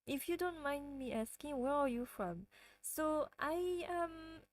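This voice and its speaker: A woman's voice: high-pitched, lively intonation, takes it slowly. A woman from France with a French accent, hosting a casual livestream and chatting with viewers, often giggling. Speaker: livestream host.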